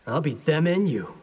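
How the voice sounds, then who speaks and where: Cocky young ninja, fairly high. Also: male voice, dark tone, breathy edge, heavily acted. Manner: casual, confident banter. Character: cocky, brave young male ninja fighter. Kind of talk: video game character acting.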